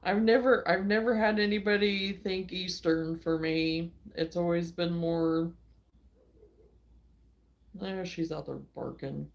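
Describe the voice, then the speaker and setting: A woman from Illinois hosting a relaxed livestream, chatting with viewers in a folksy way and laughing often. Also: lowish voice, slow pace, muffled recording. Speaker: livestream host. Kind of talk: casual chat with viewers. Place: home.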